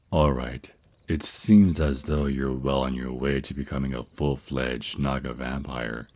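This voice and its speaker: A man's voice: rumbling low, a little mellow, no echo. A man playing a tender, reassuring vampire naga mentor and boyfriend in an ASMR roleplay, speaking soothingly and affectionately. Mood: gentle, encouraging.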